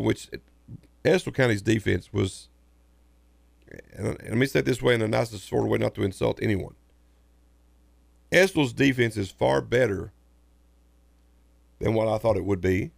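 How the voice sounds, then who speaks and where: A man co-hosting a local sports radio show, hoarse from a sinus infection, rambling and repeating himself. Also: lowish voice, no echo. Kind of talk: casual sports talk. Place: radio studio. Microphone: studio microphone.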